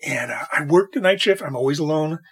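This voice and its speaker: A man hosting a solo music podcast late at night, with a raspy voice and an opinionated, rambling way of talking. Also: a little high, lively intonation, a little fast, a little hiss or hum. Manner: opinionated, rambling, talks to himself. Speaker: podcast host. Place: home recording at night.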